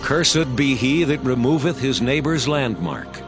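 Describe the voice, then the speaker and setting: A stern priest, played by a man, calls out pronouncements with a broad, expressive pitch. A clear music bed runs beneath his voice in this dramatized scripture production. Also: a little slow, natural voice. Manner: stern, proclaiming. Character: Levite priest crying out curses to a crowd.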